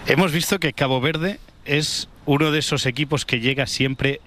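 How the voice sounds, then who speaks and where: A man's voice: a little high, normal speed, fairly bright tone. A man, a sports reporter asking long, chatty questions.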